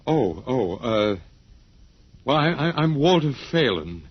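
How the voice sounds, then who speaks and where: A man's voice: mid-range voice, sing-song, slow pace, breathy edge, full character voice. An actor, a man, playing a bewildered, mild-mannered professor in an old-time radio drama, hesitant and stammering. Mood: bewildered, alarmed. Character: bewildered, mild male anthropology professor, last survivor. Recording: dull, muffled old radio recording.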